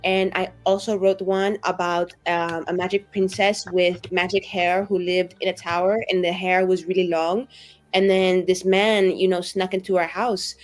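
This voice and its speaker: A woman's voice: on the low side, flat delivery, moderate pace. A woman talking informally as a player in a tabletop roleplaying game, improvising in loose, everyday phrasing. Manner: informal, peppers talk with "like". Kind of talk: casual improvised game talk.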